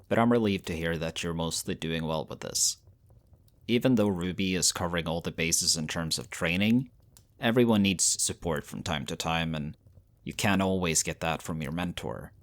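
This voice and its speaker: A man performs a weary young shifter leader in an audio drama. His voice is low, and he speaks with measured, earnest care, like a protective brother reassuring his own. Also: a bit bright.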